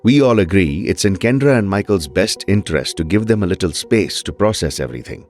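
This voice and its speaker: A man playing a calm, fatherly doctor in an audio drama, speaking politely and with careful concern. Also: deep voice, brisk, faint music behind.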